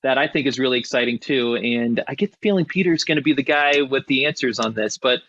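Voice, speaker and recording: A man's voice: mid-range voice, fast talker, fairly bright tone, no echo. A man hosting an interview podcast, friendly and full of hesitant fillers. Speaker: podcast host. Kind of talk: casual interview chat. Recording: dull lo-fi dry sound.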